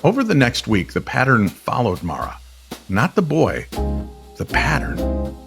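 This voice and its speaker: A man narrating an eerie story in old-time radio style, with dramatic, deliberate, suspenseful phrasing. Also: mid-range voice, huge pitch swings, creaky, over music.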